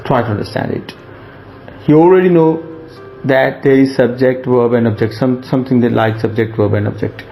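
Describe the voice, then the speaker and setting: Slow, gravelly male lecturer. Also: mid-range voice, lo-fi sound, soft background music. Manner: slow, explanatory, repeats points with fillers. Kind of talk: recorded lecture over slides.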